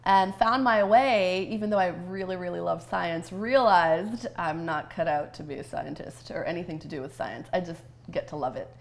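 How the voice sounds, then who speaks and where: A woman's voice: lowish voice, moderate pace, creaky, few pauses. A middle-aged woman business coach, raised in Canada after an early childhood in Romania, talking as an interview guest in an enthusiastic, thoughtful way with frequent fillers. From Romania, grew up in Canada. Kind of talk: conversational interview.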